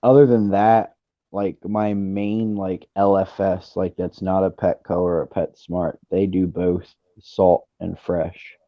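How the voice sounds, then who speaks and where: A man's voice: deep voice, flat delivery, slow, no room sound. A man around thirty on a gaming headset, chatting casually and rambling with fillers. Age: 30s. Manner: laid-back, rambling with fillers. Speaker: streamer. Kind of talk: casual chat. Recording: dull lo-fi stream audio.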